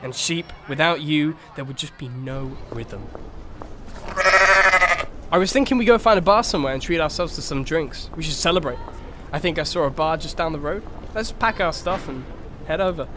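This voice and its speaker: An actor playing an eager, friendly young horse who leads an animal band in an audio story, speaking earnestly and encouragingly. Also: male voice, fairly high pitch, lively intonation, normal speed, noisy background, natural voice. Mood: relaxed, encouraging.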